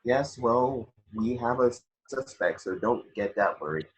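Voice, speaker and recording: A man's voice: medium pitch, animated, a little slow, husky, low-quality recording. A man reading the part of a firm, exasperated veteran homicide detective in an amateur table read of a film script.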